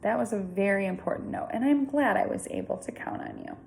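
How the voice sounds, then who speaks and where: A brisk, insistent schoolmistress from a children's storybook, read by a woman. The delivery is lively and firm, with some creak in the voice and faint noise behind it. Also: medium pitch. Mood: approving. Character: brisk, impatient female schoolteacher. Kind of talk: children's storybook character reading.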